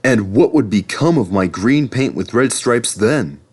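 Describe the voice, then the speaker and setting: A man's voice: medium pitch, expressive, measured pace, a little mellow, breathy edge. A vain storybook locomotive speaks through a male reader with haughty emphasis. He is stubborn and sulky, rude to anyone who argues with him.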